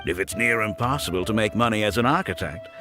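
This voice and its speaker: An actor playing a smug, scheming architect in a video game, speaking in a condescending, self-justifying way. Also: male voice, fairly low pitch, quick pace, natural voice. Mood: smug, persuasive. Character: smug, scheming male architect running a scam academy. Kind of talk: theatrical video game voice acting. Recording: game audio with background music.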